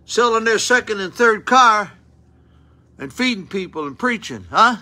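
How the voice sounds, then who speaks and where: An older man preaching an informal sermon in a small church, folksy and loud, asking the room rhetorical questions. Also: high-pitched, lively intonation, measured pace. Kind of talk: informal sermon with Bible reading. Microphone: phone camera close up.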